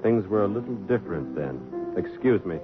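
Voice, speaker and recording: A proud young man with little money, played by an actor, speaking fast and curtly in a lowish voice. The sound is tinny and phone-like, with music clearly behind him. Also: lively intonation, natural voice. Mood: resigned. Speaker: actor in a radio play. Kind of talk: 1950s radio drama acting. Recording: tinny old radio recording with music.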